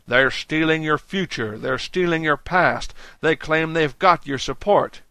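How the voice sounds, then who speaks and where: A man's voice: a little high, measured pace, pushed and bright, clear, resonant tone, no room sound, pitched above the performer's own voice. Man acting a fierce rabble-rousing orator, dry close sound. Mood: demanding. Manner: exhorting, demanding, preacher-like, dramatic. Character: rabble-rousing male orator, fierce, magnetic, drawling organizer. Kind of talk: audiobook character acting.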